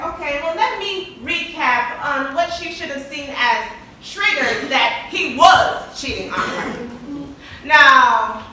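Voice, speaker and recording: A woman's voice: high-pitched, bright, forceful tone, full character voice. A woman acting a chatty, nosy office receptionist in a stage play, with a sassy, theatrical delivery. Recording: echoey stage hall, distant mic, faint noise.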